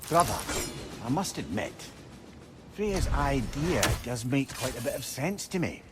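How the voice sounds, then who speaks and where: An actor playing a chatty, wry older companion with a Scottish accent in a video game, teasing and talkative. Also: male voice, mid-range voice, very animated, measured pace, very noisy. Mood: curious, encouraging. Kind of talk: dramatic video game dialogue.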